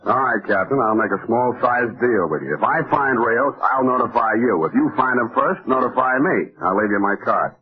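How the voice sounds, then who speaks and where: An actor playing a wry, self-assured private detective in a mid-century radio drama, narrating and questioning in a sardonic way. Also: male voice, medium pitch, animated, normal speed, hardly any pauses, crowd noise, close to an everyday voice. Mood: dry, probing. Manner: wry, sardonic, hard-boiled. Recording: tinny, narrow-band old radio recording.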